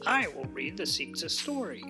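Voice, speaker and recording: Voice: mid-range voice, very animated, normal speed, over music, voice pushed up, natural voice. A storybook narrator voicing an eager, impatient and fretful toad with an emphatic, earnest manner.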